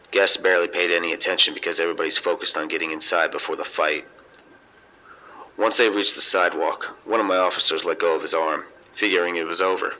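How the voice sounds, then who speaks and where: Casino security chief, male actor: man, deep voice, matter-of-fact radio-drama delivery, muffled walkie-talkie sound with some hiss. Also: bright, forceful tone. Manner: measured, detailed incident reporting. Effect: two-way radio. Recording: dull, noisy two-way radio sound.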